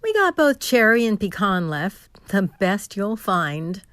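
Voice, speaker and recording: A woman plays an earnest, superstitious diner waitress in a radio mystery. She takes her time over each line, with lively pitch movement and a folksy turn, recorded dry and close. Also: measured pace, clear, resonant tone, dry recording. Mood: welcoming. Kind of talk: radio mystery drama acting.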